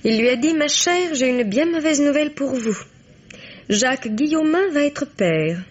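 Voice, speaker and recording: An actress playing a sorrowful but composed young woman under police questioning in a radio mystery, recalling things in a measured, wistful way. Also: sits high, animated. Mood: bitter recollection. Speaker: actress in a radio crime drama. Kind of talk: French radio mystery acting. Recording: muffled old radio recording.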